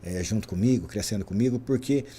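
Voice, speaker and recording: A Brazilian man in his forties, a guest in a podcast interview, telling his career story in an animated way, often tagging his sentences with a quick question. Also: lowish voice, a little hiss or hum. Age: 40s. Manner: animated, frequent "né?" tags. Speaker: guest, traffic safety specialist.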